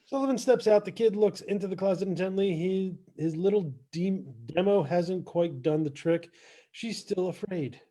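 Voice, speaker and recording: Higher-voiced man narrating a script. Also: normal speed, warm tone. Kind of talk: reading stage directions, loosely scripted narration.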